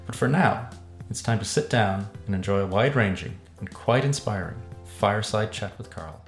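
Podcast host man in a roomy space. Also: fairly low pitch. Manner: measured, with fillers and restarts when unscripted. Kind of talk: scripted intro then casual interview chat. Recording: roomy sound with faint music behind.

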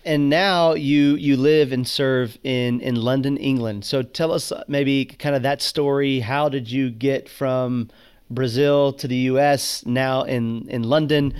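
A man's voice: medium pitch, steady tone, slow, a little mellow, clear, resonant tone. A man hosting a podcast, introducing his guest and asking questions with frequent restarts. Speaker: podcast host. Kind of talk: podcast interview.

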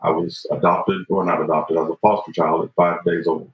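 A man's voice: low-pitched, flat delivery, fairly quick. A man in his fifties, a podcast guest talking earnestly and reflectively, often checking in with "right?". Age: 50s. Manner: earnest, reflective, frequent "you know" and "right?". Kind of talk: podcast conversation. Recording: roomy, dull lo-fi sound.

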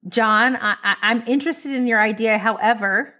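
Bold, expressive woman podcast co-host. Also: fairly high pitch, moderate pace. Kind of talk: casual chat.

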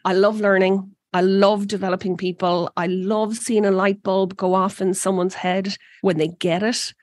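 A woman's voice: medium pitch, flat delivery, normal speed, darkish tone, no echo. Irish woman, dry close sound. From Ireland. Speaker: energy company president, guest. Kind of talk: interview conversation.

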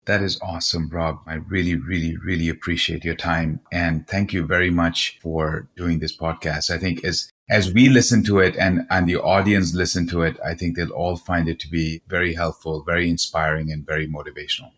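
Husky low-voiced podcast host. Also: male voice, steady tone, moderate pace, few pauses, fairly bright tone. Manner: enthusiastic, effusive thanks. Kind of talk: interview host.

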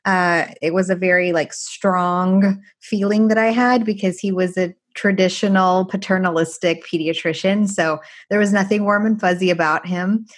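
A woman whose first language is Farsi, raised in France and Canada, co-hosting a podcast in a lively way. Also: lowish voice, normal speed, hardly any pauses. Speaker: pediatrician podcast co-host. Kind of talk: casual conversational chat.

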